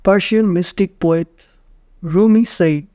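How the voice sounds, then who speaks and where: A man narrating a guided meditation in short, measured phrases with frequent pauses. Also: high voice, dark tone, no room sound, studio quality.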